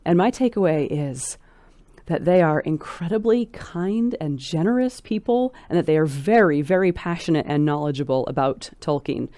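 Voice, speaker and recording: A woman's voice: sits low, expressive, mellow, no room sound. Articulate woman guest, close dry sound. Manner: articulate, precise, measured phrasing. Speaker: guest scholar. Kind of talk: thoughtful interview conversation.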